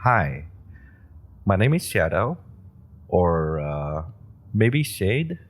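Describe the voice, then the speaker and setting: A man's voice: deep voice, very animated, extremely slow, exaggerated voice. A man playing a flustered young superhero rookie. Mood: eager. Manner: rambling and flustered, stammers and laughs nervously. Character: nervous, eager young male superhero rookie. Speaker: audio roleplay performer. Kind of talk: audio roleplay drama.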